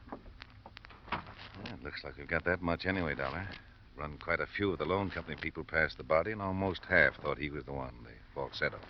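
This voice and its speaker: Very deep, fast American police lieutenant. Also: male voice. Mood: businesslike. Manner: clipped, matter-of-fact, dry. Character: brisk, businesslike, wry male police lieutenant. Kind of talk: 1950s radio drama acting. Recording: muffled old radio recording, faint hiss.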